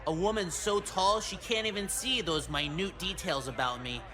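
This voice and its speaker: A man's voice: high voice, moderate pace, music in the background, lightly acted. A man voicing an awkward, whiny teenage boy outcast who is easily flustered, in a video game. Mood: awkward, sheepish.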